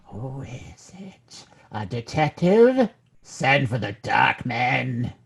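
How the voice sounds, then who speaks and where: A woman acting a suspicious, hostile elderly night dweller in a radio drama, with a creaky, raspy voice. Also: very deep voice, sing-song, extremely slow, exaggerated voice. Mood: suspicious, menacing.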